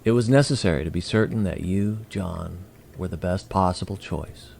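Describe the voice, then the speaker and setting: A man playing a polite, curious alien emissary in an audio drama, with a gravelly voice and formal, measured, precise speech. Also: sits low, darkish tone, a little hiss or hum. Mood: solemn, explanatory.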